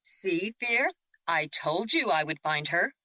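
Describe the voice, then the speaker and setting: A woman's voice: fairly low pitch, lively intonation, slow, pushed and bright, no room sound, heavily acted. A woman playing the Moon as a teasing, wise and reassuring spirit in an audio drama, with a playful, counselling way of talking. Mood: playful, calm.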